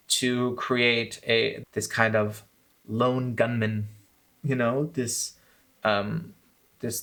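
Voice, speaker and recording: A man's voice: medium pitch, vocal fry, bright, forceful tone. Reflective man talking through his film. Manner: thoughtful, self-critical, grows enthusiastic. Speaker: filmmaker and channel host.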